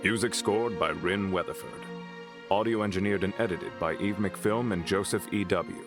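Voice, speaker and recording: A man with a deep, low-pitched voice announces credits for an audio drama. He reads from a script. Music plays prominently behind him. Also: normal speed.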